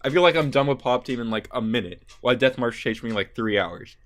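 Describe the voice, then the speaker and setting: A man's voice: mid-range voice, lively intonation, fairly quick, a bit bright. A young man co-hosting a casual anime podcast, bantering in a joking, exaggerating way.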